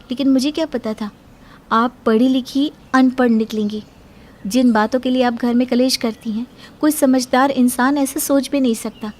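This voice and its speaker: A woman acts out a young working daughter-in-law in an Indian cartoon moral story. Her voice is clearly high. She comes across as earnest, plaintive and overworked. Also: fairly even intonation, moderate pace, a little mellow. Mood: hurt, reproachful.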